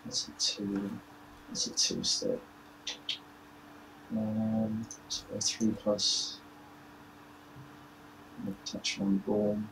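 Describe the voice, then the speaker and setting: A man's voice: fairly low pitch, monotone, drawn-out words, pauses often, distant mic. A man with a West Country accent, from the Hereford area, chatting hesitantly with lots of fillers while he plays. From Hereford area, English-Welsh border. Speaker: opposing player. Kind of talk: casual chat while gaming. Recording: roomy with faint noise.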